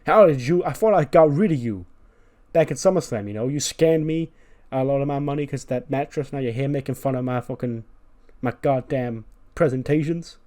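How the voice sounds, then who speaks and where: Guy doing a indignant crypto bro wrestler, flustered, blustering, pitched up a bit. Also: moderate pace, hardly any pauses, mellow, close to an everyday voice. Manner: exasperated, blustering.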